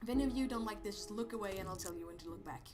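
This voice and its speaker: A man putting on a raised, goofy voice with a Swedish accent, rambling and hesitant as he comments on a game. He yelps and stammers at every surprise. Loud game music plays behind him. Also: high-pitched, rapid-fire, lightly acted. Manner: rambling, hesitant, jumpy exclamations. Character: goofy high-voiced man persona, rambling and easily startled. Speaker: let's play host. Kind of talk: let's play commentary.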